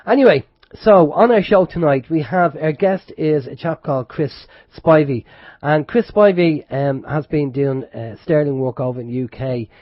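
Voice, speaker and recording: A man's voice: on the high side, moderate pace, dry recording. A man hosting an internet radio show in casual chat, with a head cold and sore throat. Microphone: close studio microphone. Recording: dull lo-fi internet radio stream.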